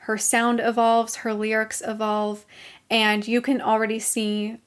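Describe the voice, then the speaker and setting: A woman in her twenties hosting a casual vlog, talking enthusiastically about music she loves, with her voice slightly hoarse from talking a long time. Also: on the high side, completely flat delivery, unhurried. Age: 20s. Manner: gushing, enthusiastic. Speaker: channel host.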